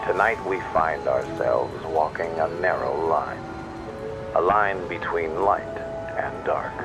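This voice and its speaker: A man plays a brooding, philosophical radio host delivering a late-night monologue. His voice is low and unhurried, solemn and measured, under loud music. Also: full character voice. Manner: slow, solemn, measured with long pauses.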